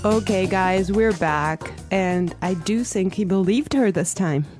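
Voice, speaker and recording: A woman co-hosts an English lesson in a cheerful, clear way, explaining each phrase for learners. Faint music plays softly behind her voice. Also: mid-range voice, measured pace. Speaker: podcast co-host, English teacher.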